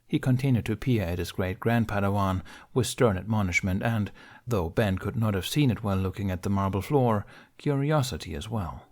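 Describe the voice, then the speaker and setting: A male audiobook narrator reads dramatic fiction. His voice sits a little low, and his delivery is measured and solemn.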